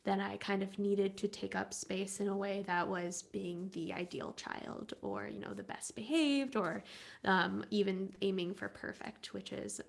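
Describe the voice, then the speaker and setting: A woman from the United States giving an earnest, reflective personal testimony in halting phrases at a church service. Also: medium pitch, vocal fry.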